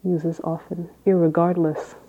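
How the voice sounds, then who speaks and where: A woman Zen teacher with a low voice, giving an unhurried dharma talk with halting phrases and long pauses. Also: little pitch movement, warm tone, muffled recording.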